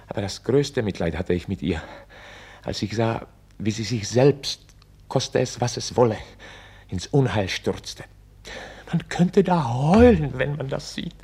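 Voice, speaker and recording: A weary author-chronicler in a radio drama, in a fairly high male voice. He is solemn and oratorical, resigned yet exasperated, as if answering to his conscience. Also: breathy edge, heavily acted. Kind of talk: radio play acting, narration turning to argument.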